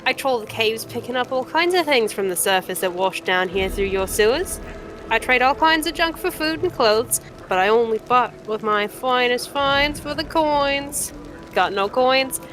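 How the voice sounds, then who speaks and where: A woman's voice: on the high side, animated, moderate pace, fairly bright tone, faint music behind, pitched above the performer's own voice. A woman voicing a brash, scornful old cave-dwelling trader woman in a fantasy game, with blunt, rustic haggling.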